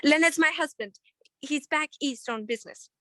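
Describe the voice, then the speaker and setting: A woman's voice: high voice, normal speed, fairly bright tone, no room sound. A woman playing an anxious, genteel married client in a 1940s-style detective radio play, pleading with many stammered hesitations. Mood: flustered, pleading.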